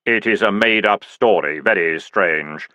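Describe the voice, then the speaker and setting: A man voicing Death as a calm, dry-witted skeletal figure who speaks slowly and deadpan. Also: fairly low pitch, no room sound. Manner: slow, measured, deadpan.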